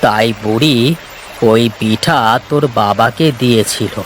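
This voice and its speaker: A man voicing the knowing, gentle ghost of a wandering minstrel in a cartoon, drawing out his words soothingly. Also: medium pitch, a little slow, a little hiss or hum, pitched below the performer's own voice, close to an everyday voice. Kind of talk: cartoon voice acting.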